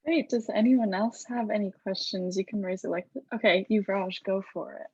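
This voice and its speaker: A woman's voice: mid-range voice, fairly even intonation, normal speed, a little mellow, breathy edge, no room sound, highs cut off. A woman casually moderating audience questions in a question-and-answer session. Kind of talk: casual Q&A hosting.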